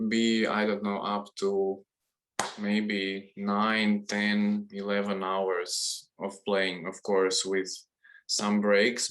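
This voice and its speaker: A Croatian-accented man in his twenties talks about playing violin over a video call. His voice sits fairly low and he speaks very slowly. He searches for words, filling the gaps with ums and uhs. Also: fairly bright tone, rough recording. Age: 20s. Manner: hesitant, many ums and uhs. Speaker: violinist, interview guest. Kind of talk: casual video call interview.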